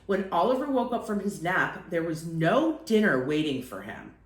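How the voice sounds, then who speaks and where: A woman reads a picture book aloud for young children. Her delivery is expressive and animated, with plenty of rise and fall in pitch. The recording has a noticeable room echo around her voice. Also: moderate pace.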